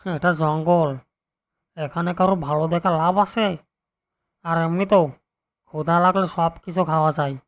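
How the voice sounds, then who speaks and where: A man's voice: on the high side, steady tone, normal speed, long pauses, natural voice. A man voicing a sly, greedy fox in a children's cartoon, with a coaxing, scheming manner. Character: sly greedy wicked male fox plotting a meal.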